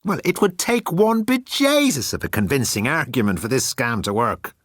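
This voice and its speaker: A man voicing a scheming, excitable, sceptical Irish leader with folksy, exaggerated turns of phrase. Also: mid-range voice, moderate pace, no echo, clean studio sound, pitched above the performer's own voice.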